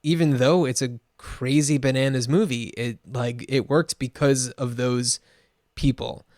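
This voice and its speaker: A man co-hosting a casual movie podcast, easygoing and quick to laugh. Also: mid-range voice, fairly even intonation, darkish tone, dry recording, clean studio sound. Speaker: podcast co-host. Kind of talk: casual chat.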